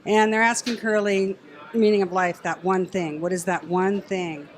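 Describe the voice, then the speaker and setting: A woman bantering casually on a podcast panel, deliberate and teasing, with dramatic pauses. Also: mid-range voice, fairly even intonation.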